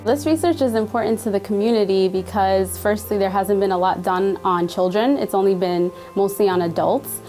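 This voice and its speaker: A woman's voice: mid-range voice, moderate pace, few pauses, warm tone, soft background music. A young woman, a student researcher, giving a casual spoken testimonial. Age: young adult.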